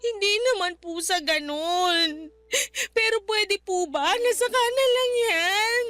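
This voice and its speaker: A woman's voice: extremely high pitch, slow, bright, forceful tone, no room sound, heavily acted. A woman playing a distraught young wife and daughter in a Filipino radio drama, respectful but wounded, pleading tearfully.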